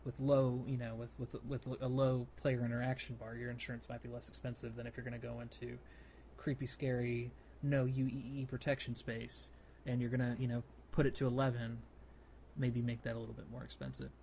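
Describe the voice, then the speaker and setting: Measured man on a noisy podcast recording. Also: mid-range voice, mellow. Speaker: podcast co-host. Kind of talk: casual discussion about a video game. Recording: clear background noise.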